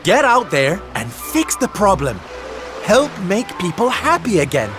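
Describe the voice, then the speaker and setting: An actor playing a flamboyant, big-hearted circus performer in a video game, camp, theatrical and gushing. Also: male voice, sits high, big pitch swings, unhurried. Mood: earnest, elated. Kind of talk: video game cutscene acting. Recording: orchestral game music behind.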